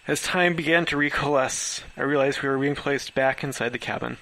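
A man's voice: medium pitch, a little fast, pushed and bright, low-quality recording. A man reading his own story as an amateur narrator, stumbling over words now and then.

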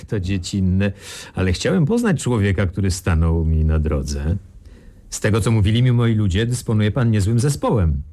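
A condescending crime lord in a radio play. The voice is deep, with lively, swinging intonation and a mock-courteous menace. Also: male voice, moderate pace. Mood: smug courtesy. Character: smug, polite, menacing male crime lord. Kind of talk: radio crime drama acting.

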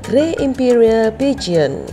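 A woman with a Malaysian accent narrating a vlog for children in a deliberate, simply explanatory manner. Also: on the high side, big pitch swings, loud music behind the voice. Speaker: vlogger and narrator. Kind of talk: voice-over narration of a wildlife park visit for children.

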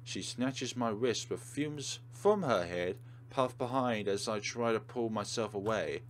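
A man's voice: fairly low pitch, unhurried. Man reading game narration, faint noise behind. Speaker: let's play host. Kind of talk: reading game narration aloud with casual commentary.

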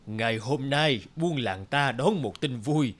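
A man voicing a solemn old male village elder in a cartoon, speaking ceremonially over loud music. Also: medium pitch, animated, slow pace. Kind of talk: cartoon voice acting.

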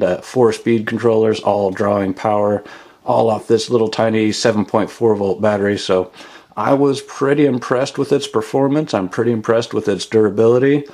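Low-voiced man reviewing gear. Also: a little mellow. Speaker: product reviewer and channel host. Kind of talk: casual product review voice-over.

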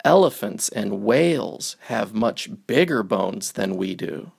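Gravelly man reading a children's book aloud. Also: lowish voice, lively intonation, slow pace. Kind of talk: picture-book read-aloud for young children.

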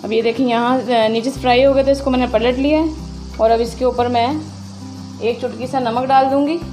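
A woman hosting a home cooking video, calmly explaining each step as she cooks in her kitchen. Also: fairly high pitch, normal speed, soft background music. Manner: calm, methodical, names each step as she works. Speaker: cooking channel host.

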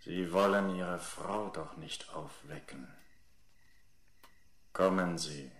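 An actor playing the ghost of a dead young man in a radio drama, speaking slowly and ominously in commands. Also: low-pitched, a bit bright, full character voice. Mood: eerie calm. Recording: music under the voice.

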